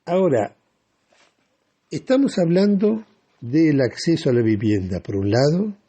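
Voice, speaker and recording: An Argentine man giving political commentary on the radio in a deliberate, didactic way, often posing rhetorical questions. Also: a little high, sing-song, mellow, husky, highs cut off.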